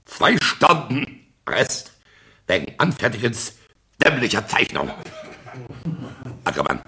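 An actor playing a pompous, irascible professor in a radio comedy, pedantic and halting, blustering when challenged. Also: male voice, medium pitch, very animated, gravelly, pushed and bright, full character voice. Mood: stern, pedantic. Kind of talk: German radio comedy drama acting.

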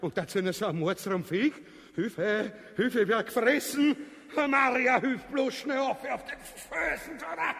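A man's voice: very high-pitched, unhurried, vocal fry, a bit bright, breathy edge, exaggerated voice. A man with an Austrian accent playing a pompous, cowardly, boastful finance official in a comic radio drama, long-winded and mock-solemn. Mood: panicky, self-serving. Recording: studio radio play with long hall reverb.

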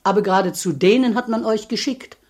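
A woman's voice: mid-range voice, fairly quick, a little mellow, natural voice. A woman playing a plain-spoken, protective village widow in a radio play, homely and emphatic. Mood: protective, insistent.

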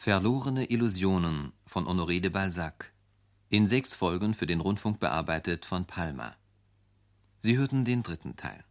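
Male radio announcer, very low voice, formal scripted credit reading. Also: expressive, normal speed.

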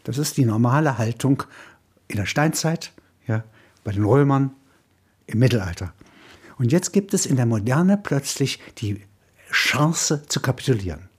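A man's voice: medium pitch, animated, unhurried, long pauses, slightly rough. An older man, an author, speaking reflectively and discursively in an explanatory interview-style commentary. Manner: discursive, explanatory, punctuated with short confirming tags.

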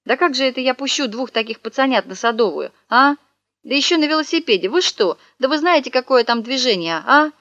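A woman's voice: medium pitch, expressive, normal speed, fairly bright tone, dry recording, natural voice. A woman voicing a sly young male swindler in a storybook reading, whining and melodramatic, heard on a dull, dry recording. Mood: scolding. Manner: wailing, wheedling, melodramatic.

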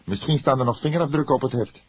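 A man voicing a young, hot-headed police detective in an audiobook, excitable and indignant, with an agitated, emphatic delivery. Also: mid-range voice, fast talker, husky, dry recording, pitched above the performer's own voice, natural voice. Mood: matter-of-fact. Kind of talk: audiobook character voice.

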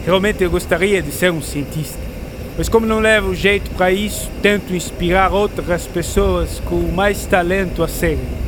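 An actor playing a proud, curious writer who loves science, theatrical and explanatory, in a radio drama. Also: male voice, high-pitched, background noise, natural voice. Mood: earnest, explanatory. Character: Jules Verne, proud, curious writer enthusiastic about science. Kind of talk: educational radio drama acting. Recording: music behind a studio radio drama.

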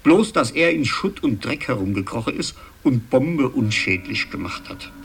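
An actor playing a chatty local man, husky and rambling, recounting gossip conversationally in a 1960s radio drama and seeking the listener's agreement. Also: mid-range voice, animated, moderate pace, fairly bright tone, slight background noise. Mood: animated gossip.

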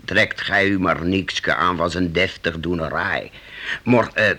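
A man's voice: low-pitched, unhurried, sharp tone, full character voice. An actor playing a fatherly, reassuring older master in a radio drama, with earnest old-fashioned speech. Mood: relieved, friendly.

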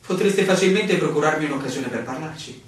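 A man's voice: a little high, animated, brisk, lightly acted. An actor playing a stubborn, slightly arrogant young male newcomer in an Italian radio play, arguing politely but insistently. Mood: insistent, reasoning. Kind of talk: theatrical radio drama dialogue. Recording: very echoey, distant, noisy ambience.

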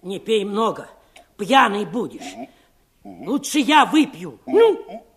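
An actor playing a tipsy, slow-witted, jolly pirate guard with a husky voice in a comic fairy-tale audio play. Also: male voice, high voice, wildly swooping pitch, a bit bright, exaggerated voice. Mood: drunken, jolly. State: drunk, acted.

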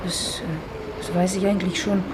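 A woman's voice: fairly low pitch, flat delivery, normal speed, natural voice. An actress playing a murdered man's guarded daughter in a 1950s German radio play, answering hesitantly. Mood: guarded, uneasy. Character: murdered man's guarded, working daughter in awkward awe of family. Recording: old radio recording with clear background noise.

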